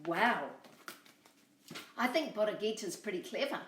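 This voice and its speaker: A woman's voice: medium pitch, expressive, unhurried, fairly bright tone, echoey room, far from the mic, slight background noise. A woman, hosting a story time and reading a storybook expressively to children.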